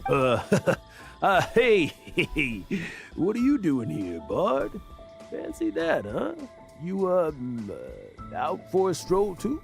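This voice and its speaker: An actor playing an insecure adventurer in an anime video game, with a halting, dramatic delivery. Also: male voice, a little high, huge pitch swings, creaky, full character voice. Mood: nervous. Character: boastful, insecure, guilt-ridden adventurer man. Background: game music.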